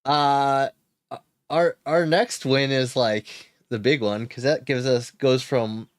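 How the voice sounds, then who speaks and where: A man's voice: mid-range voice, steady tone, takes it slowly, dry recording. Male streamer, casual play-by-play, dry close sound, talks himself through each turn. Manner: thinks aloud, chuckles, cheers. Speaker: streamer playing a card game. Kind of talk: casual gameplay commentary.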